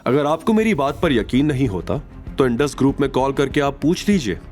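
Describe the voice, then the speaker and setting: A man acts out a wealthy company chairman in an audio drama. He is proud and serious, insisting with assurance and a defiant streak. Lively intonation sits over a musical background. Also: medium pitch, fairly quick, faint music behind, pitched above the performer's own voice, close to an everyday voice. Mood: calm, serious. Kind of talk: audio drama character acting.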